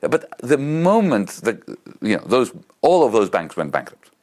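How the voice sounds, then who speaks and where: A man with a Greek accent arguing politics in an interview, emphatic and animated, piling up examples. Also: mid-range voice, moderate pace. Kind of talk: impassioned political argument.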